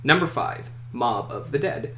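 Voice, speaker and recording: A man's voice: medium pitch, lively intonation, unhurried, fairly bright tone, faint music behind. A man hosting a countdown list video, reading scripted commentary and opinion. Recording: echoey room, faint noise.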